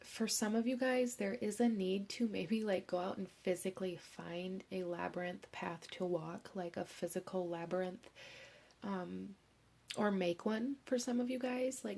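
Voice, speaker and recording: A young woman, hoarse from a cold, giving a gentle pick-a-card tarot reading and slipping into soft whispers. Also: lowish voice. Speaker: tarot reader.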